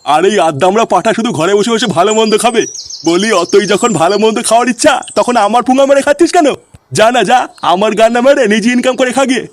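A man's voice: very high voice, fast. A man voicing a hot-tempered, foul-mouthed ageing father in a comedy cartoon. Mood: angry scolding. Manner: scolding, profane. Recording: clearly noisy track.

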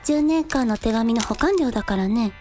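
A woman playing a considerate, composed younger sister with a gently wry streak, in a radio drama. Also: on the high side, lively intonation, moderate pace, faint music behind, close to an everyday voice. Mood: chatty.

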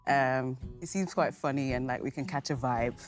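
A woman's voice: rumbling low, moderate pace. A woman chatting casually and teasingly as a contestant on a dating show, asking inquisitive questions. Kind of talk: casual chat. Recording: music behind the voice.

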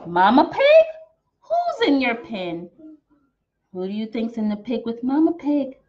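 A woman's voice: on the high side, sing-song, a little slow. A young American woman reading a picture book aloud to small children in a theatrical, sing-song, exaggerated way. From Texas, United States. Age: young adult. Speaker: children's storytime teacher. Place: small decorated room at home, indoors. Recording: dull, slightly muffled webcam sound.

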